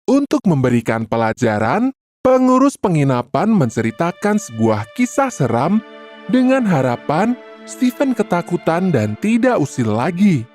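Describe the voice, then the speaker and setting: A storyteller narrating a scripted children's tale in an expressive, deliberate manner. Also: medium pitch, soft background music.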